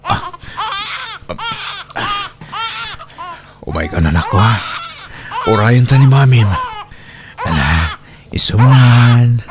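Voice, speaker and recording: A man's voice: medium pitch, animated, measured pace. A man with an Ilocano Filipino accent playing a humble, distressed young father left alone with a baby, in audio drama style, hesitant and pleading. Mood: anxious, tearful. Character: distressed young single father, humble, abandoned by his partner.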